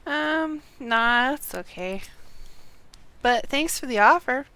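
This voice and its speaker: A woman's voice: sits high, expressive, slow pace, sharp tone, smooth. A woman chatting casually on a live game stream, often talking to herself in short fragments as she plays. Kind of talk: casual live gameplay chat.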